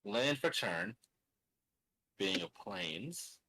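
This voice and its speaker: A man's voice: on the low side, expressive, very slow, bright, forceful tone, slightly rough, poor audio. A man casually narrating his own moves in a tabletop card game, muttering and counting aloud as he thinks. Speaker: card game player and streamer.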